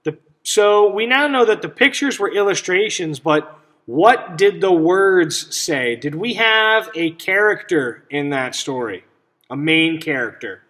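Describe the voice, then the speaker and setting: A man reading a picture book aloud to children as a teacher, pausing to ask simple questions and explain things. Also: high voice, animated, fairly bright tone. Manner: instructive, checks understanding with simple questions.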